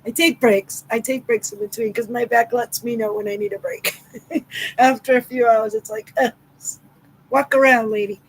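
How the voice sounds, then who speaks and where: A woman's voice: a little high, normal speed, slight background noise, rough recording. Chatty Massachusetts woman greeting viewers. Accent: Southeastern Massachusetts. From Massachusetts, USA. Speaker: livestream host. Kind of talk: casual livestream chat with viewers.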